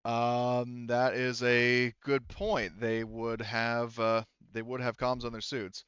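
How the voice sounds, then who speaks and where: A man working as game master of a tabletop roleplaying game, narrating casually and haltingly, correcting himself as he explains on a headset. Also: mid-range voice, flat delivery, a bit bright, clear, resonant tone, no room sound, dull audio.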